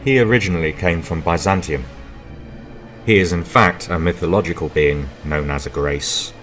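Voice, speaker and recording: A man's voice: low-pitched, moderate pace, fairly bright tone, faint music behind. A man giving scripted narration, introducing characters in an audio story as its presenter.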